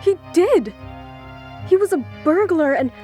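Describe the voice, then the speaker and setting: A woman's voice: very high-pitched, expressive, measured pace, dark tone, soft background music. A woman acting in an audio drama as a blunt, exasperated relative, confrontational and insistent. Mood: exasperated, accusing. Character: blunt, exasperated woman exposing her father's crimes.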